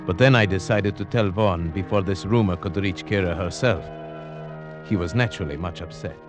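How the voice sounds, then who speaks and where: A man narrating an old-time radio drama in a measured, suspenseful way. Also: low-pitched, over music. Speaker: narrator and lead actor.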